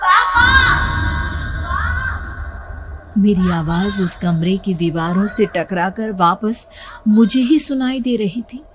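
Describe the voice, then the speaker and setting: Woman doing dramatic scary story narration, really slow n emotive, music behind her. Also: mid-range voice, darkish tone. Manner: suspenseful, emotive first-person telling.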